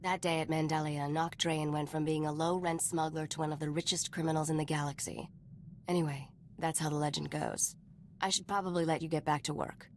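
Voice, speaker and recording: A woman's voice: low voice, level tone, a little fast, slight background noise. A woman voice-acting a cool, knowing smuggler informant in a video game, wry and composed as she tells stories.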